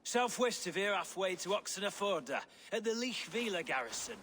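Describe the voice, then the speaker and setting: An actor playing a defiant, hot-tempered medieval thane in a video game, with a rough, insulting way of talking. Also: male voice, high voice, animated, moderate pace, fairly bright tone, slight background noise. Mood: grudging.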